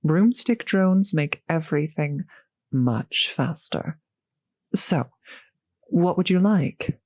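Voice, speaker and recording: A woman playing a courteous, gentle centuries-old vampire host in a roleplay audio drama, speaking politely and slightly formally to the listener, with soft hesitations. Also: sits low, expressive, takes it slowly, no echo, clean studio sound, heavily acted. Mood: hospitable. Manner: polite, softly hesitant, old-fashioned genteel phrasing.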